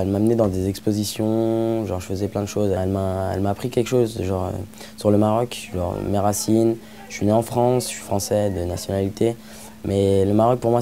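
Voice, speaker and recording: A man's voice: on the low side, fairly even intonation, normal speed, mellow. A young man, the artist's son, speaking casually in an interview with hesitant fillers.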